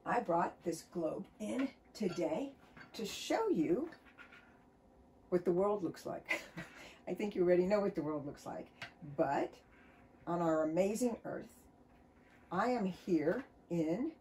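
A middle-aged woman from the United States, a children's author, chatting and reading a storybook aloud in her book-filled home studio with a cheerful, expressive storytime manner. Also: lowish voice, slow, pauses often, a little hiss or hum. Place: home studio full of books, indoors.

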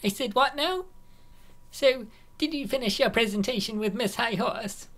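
A woman's voice: on the high side, moderate pace, fairly bright tone. A woman voicing a laid-back, blunt young adult elf woman in a comic dub. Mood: chill, curious. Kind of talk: comic dub character acting.

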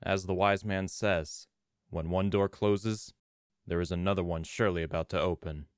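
A solemn male storyteller performed by an actor narrates in a low, dryly recorded voice. He is measured and ominous, a horror-comic narrator with rain and thunder around him. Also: fairly even intonation, smooth, no room sound, clean studio sound, close to an everyday voice. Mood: grave, ominous. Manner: measured, portentous. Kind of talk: scripted dramatic narration in a comic dub.

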